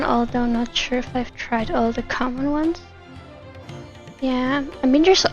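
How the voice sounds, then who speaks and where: A woman with a high voice chats casually as she livestreams a game. She is giggly, and the sound is slightly dull, with faint music behind her. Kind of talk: casual chat with viewers during gameplay.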